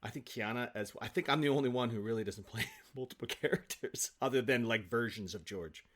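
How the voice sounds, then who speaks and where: A man speaking as an actor guest in a casual podcast conversation, effusive and animated in his storytelling. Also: mid-range voice, brisk.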